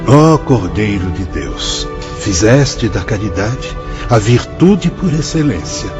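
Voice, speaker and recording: A wise, patient spirit guide explains with dramatic, sweeping phrasing. His pitch swings widely, and a faint music bed sits under a slightly muffled recording. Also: big pitch swings, slow. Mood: reverent. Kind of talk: radio drama acting.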